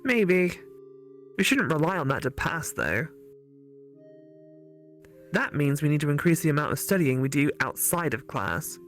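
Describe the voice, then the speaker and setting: Girl doing a ghost classmate for a visual novel, teasing and brainy, articulate, soft music in the back. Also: low voice, sing-song, measured pace, long pauses. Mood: indignant, serious. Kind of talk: visual novel character read aloud for a let's play.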